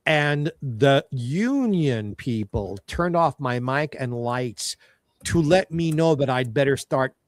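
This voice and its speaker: A man's voice: mid-range voice, lively intonation, takes it slowly, no echo, studio quality. Theatrical male talk show co-host. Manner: theatrical storyteller, showbiz flair. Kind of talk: casual chat.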